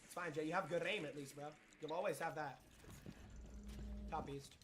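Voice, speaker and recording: Slightly high-voiced male gamer making callouts. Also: fairly even intonation, normal speed, very noisy. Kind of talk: casual gamer trash talk and callouts.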